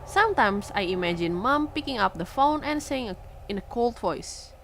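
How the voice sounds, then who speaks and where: A young woman with an Indonesian accent streams a visual novel, reading its lines aloud and then reacting in an animated mix of English and Indonesian. Her voice sits a little high and is bright and sharp, and it swings widely in pitch. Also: normal speed, vocal fry, a little hiss or hum. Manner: animated, switches between English and Indonesian, breaks into excited outbursts. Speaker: virtual streamer.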